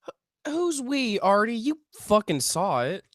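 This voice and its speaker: A self-serious male UFO researcher, acted at a script read, defensive and paranoid. The delivery stammers and swings widely in pitch, landing points with emphasis in a very dry recording. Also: sing-song, slow, no room sound. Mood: flustered, defensive. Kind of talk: table read of a comedy script.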